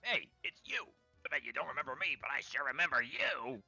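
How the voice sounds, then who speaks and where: A sneering villain grunt with a grudge, as a man puts him on, lively and swooping in pitch. The tone is very bright and the audio dry and dull. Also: fairly quick, gravelly, husky, dry recording, faint music behind, exaggerated voice. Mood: bitter. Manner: sneering, reading game dialogue aloud. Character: bitter male villain-gang underling holding a grudge.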